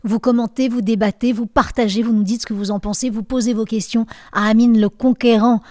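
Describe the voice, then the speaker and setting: French woman hosting a podcast, mellow. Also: on the high side, fairly even intonation, brisk, no room sound, clean studio sound. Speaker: podcast host. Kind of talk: interviewing.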